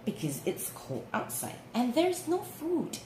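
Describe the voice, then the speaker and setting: A woman's voice: sits high, wildly swooping pitch, unhurried, voice pushed up, exaggerated voice. Woman as an emphatic, dismissive tortoise dad. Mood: indignant. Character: scornful old-fashioned father tortoise, sleepy and firm. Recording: roomy with clear background noise.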